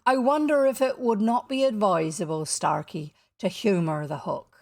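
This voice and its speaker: A woman's voice: mid-range voice, fairly even intonation, moderate pace, creaky, a little mellow, no echo, natural voice. A woman voicing a villainous male pirate captain in a storybook, theatrical and menacing, with mock courtesy. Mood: purring, steely threat. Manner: purring, steely threats and thundering outbursts.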